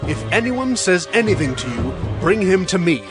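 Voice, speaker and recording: A man's voice: sits high, big pitch swings, normal speed, music in the background. A man playing a protective, decisive king with a regal, commanding manner in a dramatized audio Bible. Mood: regal assurance. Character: decisive, protective king granting a widow's plea.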